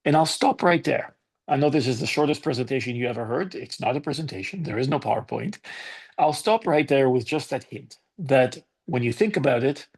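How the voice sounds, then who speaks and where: A man's voice: medium pitch, creaky, a little mellow, husky. A man in his fifties from Greece with a Greek accent, giving a candid, conversational talk to an online conference audience and inviting debate. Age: 50s. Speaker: conference speaker.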